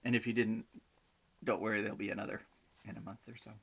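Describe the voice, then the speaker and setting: A woman's voice: very deep voice, flat delivery, fast, creaky, a bit bright, highs cut off, a little hiss or hum. A woman reading a children's story aloud expressively, drawing out words with theatrical emphasis. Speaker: storybook reader. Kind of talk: expressive storytelling with chatty asides to young listeners.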